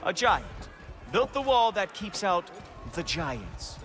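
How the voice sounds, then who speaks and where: An actor playing an arrogant god in a video game, mocking with drawn-out sarcasm. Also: male voice, sits high, big pitch swings, heavily acted. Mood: condescending. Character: arrogant, sneering male god, watchman of the gods. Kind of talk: video game character acting. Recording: loud game music behind.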